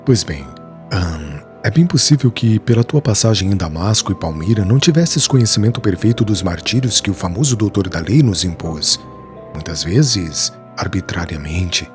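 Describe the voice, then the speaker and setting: Man doing an earnest weaver in an audiobook, solemn and measured, low and lively in pitch, soft music underneath. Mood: grave. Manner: solemn, measured storytelling of past suffering. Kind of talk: audiobook character dialogue. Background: faint background music.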